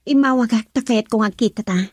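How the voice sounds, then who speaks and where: An actress playing a guarded, testy woman with a slightly husky voice in a radio drama. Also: on the high side, brisk, a little mellow, no room sound, studio quality, close to an everyday voice.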